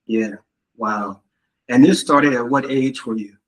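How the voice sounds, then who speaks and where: Male host, mid-range voice, relaxed, lots of "yeah" and "wow" between questions. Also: mid-range voice, unhurried, rough recording. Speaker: interview host. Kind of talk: casual interview questions.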